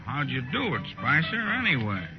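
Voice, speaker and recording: Animated, prying saloon cowboy. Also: male voice, medium pitch, normal speed, over music. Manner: nosy, insistent folksy cowboy talk. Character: prying, needling frontier saloon drinker. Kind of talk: 1950s radio western acting. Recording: dull vintage radio recording.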